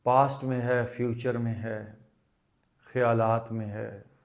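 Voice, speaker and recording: A man leading a guided meditation as a teacher, speaking deliberately and instructively in short phrases with pauses. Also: mid-range voice, level tone.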